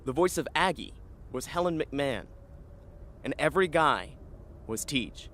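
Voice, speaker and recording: A man's voice: on the high side, animated, unhurried, fairly bright tone, a little hiss or hum. A man reading scripted end credits for a radio story as its announcer.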